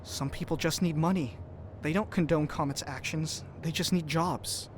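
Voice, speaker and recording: An actor playing an earnest, compassionate doctor in a science-fiction audio drama. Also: male voice, a little high, steady tone, moderate pace, a little hiss or hum, natural voice. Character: earnest grieving male doctor, cautious and compassionate sci-fi traveller.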